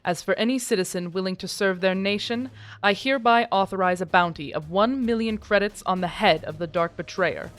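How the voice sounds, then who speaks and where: A stern, authoritarian female lieutenant general, acted by a woman, delivers a formal proclamation for an audio drama. Her mid-pitched voice sounds pompous and self-righteous, with soft music underneath. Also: a little fast, close to an everyday voice. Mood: resolute, threatening.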